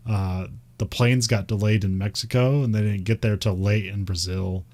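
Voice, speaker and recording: A man's voice: fairly low pitch, steady tone, moderate pace, darkish tone. A man with a Midwestern American accent, chatting casually and rambling, with frequent laughs and fillers. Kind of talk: casual chat about racing.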